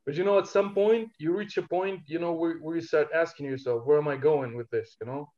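A man from Algeria, a rapper guest talking in a relaxed interview, hesitant and full of fillers. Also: on the high side, fairly quick, dull audio. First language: Algerian Darija. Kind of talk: casual interview talk.

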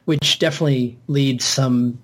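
A man's voice: medium pitch, fairly even intonation, normal speed. A man in a casual film discussion as a podcast panellist, reflectively working through his ideas aloud.

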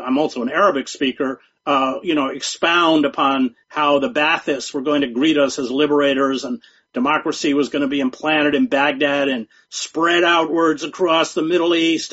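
A man speaking as a guest journalist in an interview, talking in a measured, emphatic way and often repeating words. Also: a little high, fairly bright tone, breathy edge. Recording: dull lo-fi sound.

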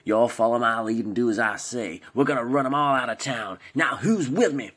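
A man voicing a deluded Englishman who talks in a put-on cowboy drawl, loud and bossy. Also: medium pitch, moderate pace, breathy edge, voice pushed up. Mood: blustering.

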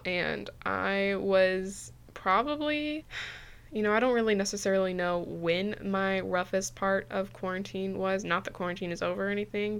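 Chatty young woman with a smooth voice. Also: medium pitch, a bit bright. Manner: chatty, laughs easily, restarts phrases. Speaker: podcast host. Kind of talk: casual podcast talk.

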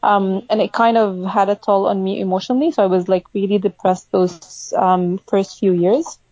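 A woman's voice: mid-range voice, fairly even intonation, normal speed, darkish tone, dry recording, highs cut off. A woman, chatting as a guest on a podcast in a friendly way and laughing often. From Egypt. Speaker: podcast guest, health coach. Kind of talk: casual conversational interview.

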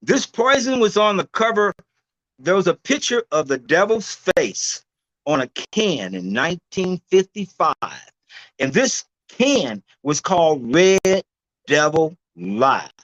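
A man in his sixties, a guest herbalist, telling his story in a loud, emphatic, preacher-like way over a video call. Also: high voice, sing-song, slow pace, dry recording, low-quality recording.

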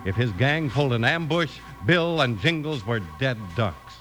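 A man's voice: medium pitch, animated, moderate pace, fairly bright tone. A man with an American accent announcing from a script in 1950s radio style, with a folksy delivery. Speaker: announcer and narrator.

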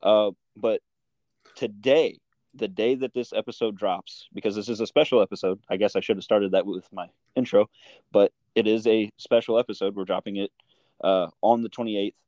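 A man's voice: lowish voice, steady tone, normal speed, a little mellow, no room sound, dull audio. A man hosting a podcast in an informal, meandering, casual chat style. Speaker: podcast host.

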